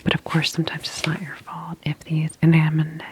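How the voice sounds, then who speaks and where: A woman whispering softly and breathily in an ASMR roleplay, playing a friendly, reassuring medical examiner with a gentle, unhurried manner. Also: sits low, monotone, background noise. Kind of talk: ASMR personal-attention roleplay.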